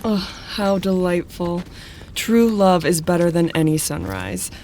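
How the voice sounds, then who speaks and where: Grand, unhurried, effusive traveler. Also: female voice, fairly low pitch, vocal fry, warm tone, faint music behind. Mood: delighted, breezy. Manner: theatrical, effusive. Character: breezy, well-traveled woman, delighted and grand. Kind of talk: audio drama acting.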